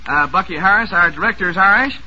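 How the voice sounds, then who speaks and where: A man with a high voice runs a 1940s radio quiz show in a jovial, teasing way. He reads out listener questions and ribs the contestants. The sound is a hissy, noisy old broadcast. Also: fast, sharp tone, muffled recording.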